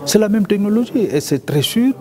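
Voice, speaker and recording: A man speaking as a conference panelist, deliberate and repetitive, with his pitch leaping when he stresses points. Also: lively intonation, dark tone. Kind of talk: interview statement. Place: conference venue. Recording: crowd murmur behind.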